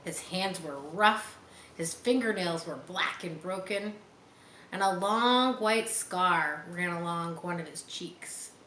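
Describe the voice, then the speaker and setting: A woman's voice: lowish voice, fairly bright tone. A woman reading a children's chapter book aloud, with simple explanatory asides for young listeners. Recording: roomy echo, faint background noise.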